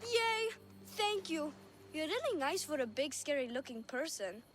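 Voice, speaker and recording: A woman's voice: sits high, big pitch swings, measured pace, a bit bright, slight background noise, heavily acted. An actress playing an innocent, lonely little girl in a video game, earnest and playful. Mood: cheerful. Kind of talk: video game cutscene acting.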